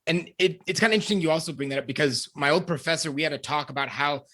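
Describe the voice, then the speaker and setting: A man's voice: fairly high pitch, rapid-fire, pushed and bright. A man talking enthusiastically and in a rambling way as a panelist in a podcast discussion.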